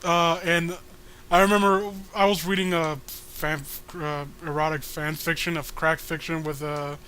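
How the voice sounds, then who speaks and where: A man's voice: a little high, little pitch movement, measured pace, pushed and bright, slight background noise. A man co-hosting a comic read-along with casual, crude banter, swearing and rambling as he jokes. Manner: profane, joking, rambling.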